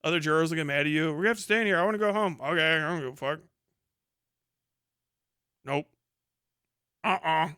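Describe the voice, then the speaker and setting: A man livestreaming casual, profane commentary and reacting to chat, animated and sarcastic as he slips into imagined quotes. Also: a little high, fairly quick, long pauses, smooth, no room sound, studio quality. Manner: profane, slangy. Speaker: live streamer.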